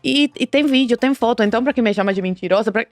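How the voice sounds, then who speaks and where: A woman's voice: a little high, fast, dry recording, soft background music. A young woman from Cuba, talking emphatically and insistently in a casual panel discussion, often restarting her sentences. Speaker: guest, Cuban student who streams.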